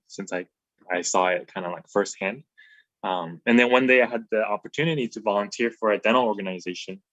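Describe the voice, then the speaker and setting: Low-voiced young man giving a talk. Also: fairly bright tone, dry recording, highs cut off. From Taiwan. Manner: easygoing, with frequent ums and uhs. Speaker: dental resident. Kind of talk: informal slide presentation. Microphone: video call.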